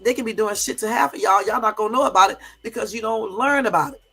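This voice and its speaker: A middle-aged woman with a high, bright voice and a cold, so she sounds hoarse. She chats casually through a livestream and tells stories straight to her viewers. Faint music plays in the background. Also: quick pace. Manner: chatty storyteller, talks straight to viewers. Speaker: YouTube livestream host.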